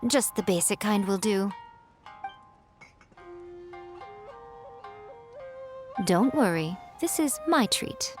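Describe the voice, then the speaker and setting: A woman voicing a sly, elegant fox spirit who mentors with smooth, unhurried teasing. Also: mid-range voice, animated, soft background music, lightly acted. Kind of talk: video game cutscene voice acting.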